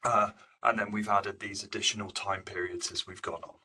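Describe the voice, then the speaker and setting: Sharp, bright-voiced male academic presenter. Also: on the low side, flat delivery, breathy edge. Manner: halting, self-correcting, informal delivery. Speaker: professor giving a research seminar. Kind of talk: academic slide presentation, explanatory.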